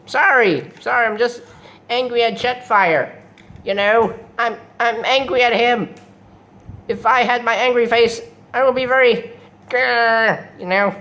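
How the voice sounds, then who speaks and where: A man's voice: extremely high pitch, unhurried, sharp tone, muffled recording, voice pushed up, heavily acted. A man voicing a vain, hot-tempered villainous robot commander with a strained, theatrical, ranting delivery. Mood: angry, sulky. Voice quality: throat-straining voice.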